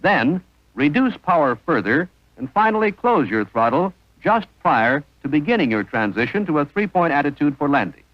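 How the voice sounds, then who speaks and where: A man's voice: fairly high pitch, very animated, normal speed, no room sound, highs cut off. A man narrating an instructional training film in a formal, precise manner on a vintage soundtrack.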